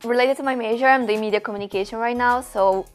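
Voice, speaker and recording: A woman's voice: fairly high pitch, fairly even intonation, fast, smooth, faint music behind. A woman in her twenties from Brazil, a university student, chatting in English with many "like"s and "so"s. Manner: chatty, uses "like" and "so" a lot.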